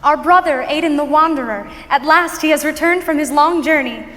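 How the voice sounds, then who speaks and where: An actress playing a stately, welcoming night spirit with formal theatrical delivery in a reverberant auditorium. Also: female voice, high voice, a little fast, lightly acted. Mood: solemn, welcoming. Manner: formal, storybook phrasing. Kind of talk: theatrical stage acting. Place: large indoor high school auditorium. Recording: distant, reverberant auditorium, loud music. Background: loud music.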